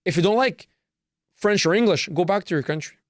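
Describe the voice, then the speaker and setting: Man, podcast host, profane ranting, slightly high voice, dry close sound. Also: lively intonation, fairly quick, no room sound. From Canada. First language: Serbo-Croatian. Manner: profane, repetitive, emphatic ranting. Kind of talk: solo podcast rant.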